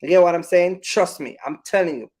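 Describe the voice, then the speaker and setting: A man with a high-pitched voice speaks straight to his viewers in an informal advice monologue. He is emphatic and repeats his points, regularly checking that listeners understand him. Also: normal speed, a little mellow. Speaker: trucking business YouTuber giving advice.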